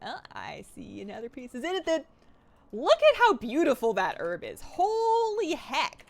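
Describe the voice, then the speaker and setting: Excitable young woman gaming on stream, voice swings all over, reads chat out. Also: high-pitched, normal speed, creaky. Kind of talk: live gameplay stream chat.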